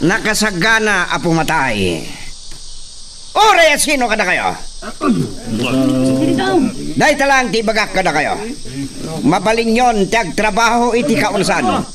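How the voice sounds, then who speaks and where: An actor playing a cruel, domineering young hacienda master in an Ilocano radio drama, commanding and menacing. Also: male voice, high-pitched, wildly swooping pitch, measured pace, pauses often, a crowd in the background, full character voice.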